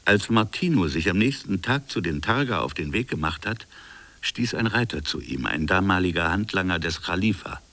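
A man's voice: low voice, animated, normal speed, bright, forceful tone, no echo, exaggerated voice. An actor playing a colonial official in a radio drama, recounting his own investigation in a brooding, insistent manner. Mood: intent, sober. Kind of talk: radio drama dialogue and first-person narration.

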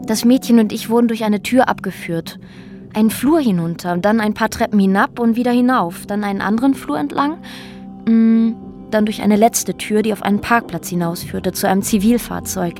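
A woman's voice: medium pitch, moderate pace, a little mellow, close to an everyday voice. An actress as a sober woman recounting an ordeal. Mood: grave, restrained. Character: woman quietly recounting a brutal police ordeal, former activist. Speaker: radio drama actor. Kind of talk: German radio drama dialogue and narration.

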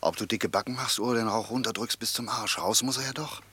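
An irritable male fugitive soldier in a radio drama. His low voice is very raspy and forceful, with a colloquial, sarcastic edge of exasperation. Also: fast talker. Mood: sarcastic, exasperated. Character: wary, irritable male escaped war prisoner, scolding his comrade. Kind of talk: radio play acting.

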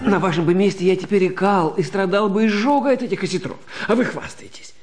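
A man giving an expressive, theatrical narration of a literary story as an actor. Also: high-pitched, quick pace, soft background music. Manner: expressive, dramatic.

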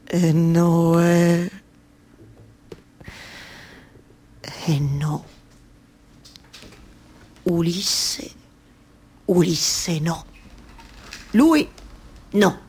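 An actress playing an anguished, obsessive waiting wife in a theatrical monologue, with a Roman accent and repetitive phrases that halt and then rush. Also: female voice, fairly low pitch, mellow, breathy edge, full character voice. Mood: desperate, faltering. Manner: repetitive, halting then rushing, colloquial dialect storytelling. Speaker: stage actress. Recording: live music behind the voice.

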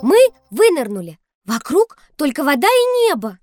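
A woman playing a curious, observant young girl with an inquisitive, expressive delivery in an educational audio drama. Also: high voice, normal speed, music in the background. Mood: surprised, inquisitive.

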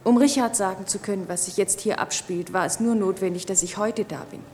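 An actress playing a resigned, clairvoyant wife in a German radio play, speaking in a measured, matter-of-fact way. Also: female voice, medium pitch, a little mellow, slight background noise, natural voice. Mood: composed. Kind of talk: 1960s-80s German radio play acting.

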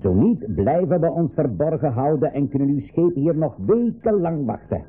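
A man's voice: fairly high pitch, very animated, normal speed, slight background noise. A man playing an older, stubborn professor and uncle in a 1980s school radio play, speaking emphatically with hesitant repetitions. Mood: firm bargaining. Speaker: radio drama actor. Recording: narrow phone-like old radio recording.

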